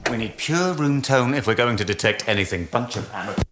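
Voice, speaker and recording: A man's voice: mid-range voice, expressive, fairly quick, fairly bright tone, soft background music, natural voice. Driven, commanding male team leader, echoey acted by a man. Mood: irritated. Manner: commanding, clipped orders. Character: obsessive, domineering male research team leader. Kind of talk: radio drama acting. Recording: echoey room with background noise.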